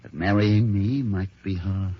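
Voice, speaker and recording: An actor playing a controlled, resigned middle-aged husband in a measured, formal, theatrical old-time radio drama style. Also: male voice, sits low, steady tone. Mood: bitter, resigned. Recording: dull, noisy old radio recording.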